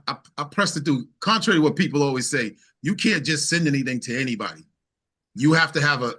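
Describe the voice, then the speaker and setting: A man's voice: medium pitch, pushed and bright. A man speaking as an interview guest and singer-songwriter, telling stories in casual, informal, easygoing chat.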